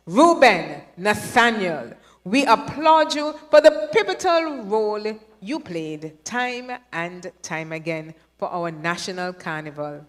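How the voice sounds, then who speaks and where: A woman's voice: medium pitch, lively intonation, takes it slowly. Woman hosting in an echoing hall. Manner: playful, chatty, asks the audience questions. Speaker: awards show host. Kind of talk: live awards ceremony hosting. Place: large hall. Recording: echoey hall with long reverb.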